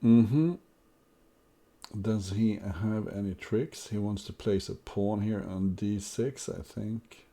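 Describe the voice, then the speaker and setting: Swedish-accented male chess streamer, deep-ish mellow voice, muses dryly over moves. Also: slow. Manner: thinking aloud over moves, wry asides. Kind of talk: live-streamed chess commentary.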